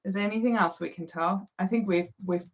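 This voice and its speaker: A young woman co-hosting a casual live chat, with a squeaky voice and a playful, giggly manner. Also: a little fast. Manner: playful, giggly, sing-song. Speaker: co-host.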